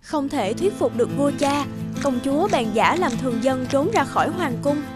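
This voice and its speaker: A woman's voice: sits high, animated, moderate pace, over loud music. A woman reading scripted fairy-tale narration as a storyteller.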